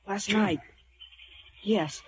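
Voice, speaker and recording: A man's voice: high voice, extremely slow. A young male actor playing a brave but frightened cabin boy in an adventure audio drama, earnest and urgent. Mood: weak, earnest. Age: young, boy or teenager.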